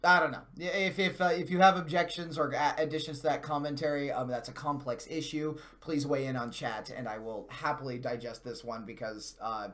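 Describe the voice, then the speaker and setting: An American man co-hosting a video game news show, giving casual but wordy, halting commentary in measured, somewhat formal words. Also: mid-range voice, steady tone, fairly bright tone.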